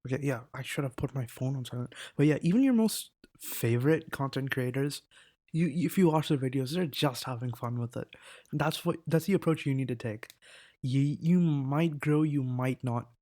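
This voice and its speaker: A man's voice: mid-range voice, normal speed, dark tone. A teenage boy chatting casually in a rambling, self-interrupting vlog, swearing now and then, into a desk mic. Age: teens. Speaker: vlogger. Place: indoors at a laptop.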